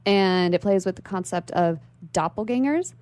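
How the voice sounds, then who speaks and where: A woman's voice: mid-range voice, animated, moderate pace, darkish tone, clean tone, no room sound. A woman speaking as an interview guest in a literary radio discussion, articulate and bookish.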